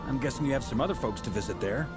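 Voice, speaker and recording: A man's voice: mid-range voice, animated, rapid-fire, over loud music, close to an everyday voice. A man playing an easygoing game traveler. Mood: friendly.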